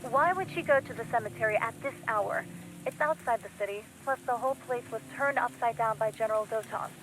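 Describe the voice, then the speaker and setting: A woman's voice: fairly high pitch, fairly quick, a bit bright, close to an everyday voice, poor audio. An actress playing an earnest, insistent woman journalist in a video game, polite but urgent and worried. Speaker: supporting character in a video game. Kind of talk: scripted game voice acting.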